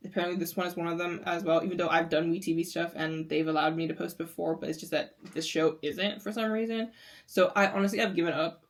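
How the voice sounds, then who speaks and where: A woman from the United States talking through a reaction video with an American accent. She rambles loosely, stacking filler words. Her voice sits a little low. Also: little pitch movement, a little fast. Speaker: series reactor. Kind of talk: casual reaction commentary.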